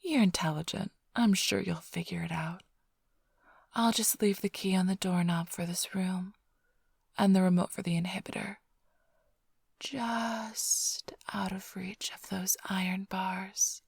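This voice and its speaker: Breathy, flirtatious vampire thief played by a woman. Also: mid-range voice, steady tone, a little slow, dry recording, studio quality. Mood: mocking, playful. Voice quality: breathy, husky, often whispered. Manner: teasing, purring, theatrically sly. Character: flirtatious female vampire thief, teasing smug rival. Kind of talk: ASMR roleplay, intimate close address to listener.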